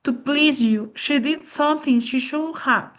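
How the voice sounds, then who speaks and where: Woman playing a high-voiced, earnest concerned neighbor. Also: measured pace, a bit bright. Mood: grave, explaining. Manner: earnest, explanatory. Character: concerned, reproving neighbor woman who explains things.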